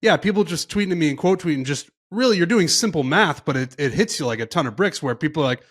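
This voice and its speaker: Reflective man with a fairly high voice. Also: lively intonation, fast. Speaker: podcast co-host. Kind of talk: casual sports chat.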